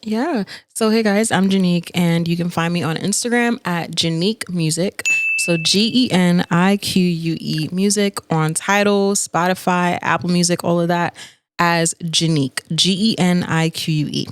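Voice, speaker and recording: A woman's voice: on the low side, steady tone, normal speed, a little hiss or hum. A woman in her twenties from Jamaica with a New York accent, chatting casually as a singer guest in an interview. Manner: easygoing, laughing, chatty storyteller.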